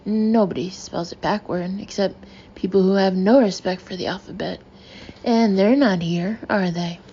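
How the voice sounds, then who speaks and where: A woman voicing an excitable male sea captain who talks loudly, keeps correcting himself and tacks "aye" onto his sentences. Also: mid-range voice, normal speed, husky, highs cut off. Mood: indignant.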